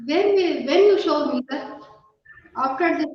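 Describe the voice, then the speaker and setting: A woman's voice: high voice, animated, measured pace, slight background noise. A woman interviewing someone on a video call, deferential and hesitant. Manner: deferential, often saying "sir", hesitant. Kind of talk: polite scripted interview questions with halting follow-ups. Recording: very echoey, distant, muffled call audio.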